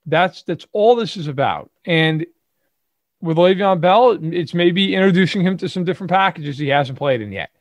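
A man's voice: sits high, lively intonation, normal speed, dry recording. A man co-hosting a sports podcast, giving emphatic opinions in casual talk full of fillers and restarts. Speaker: podcast co-host. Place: lives in Chicago.

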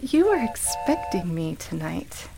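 A woman playing a nostalgic, wonderstruck shop customer in a radio play, with a hesitant, halting delivery. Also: on the low side, very animated, gravelly, music in the background, full character voice, poor audio. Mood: hesitant, curious.

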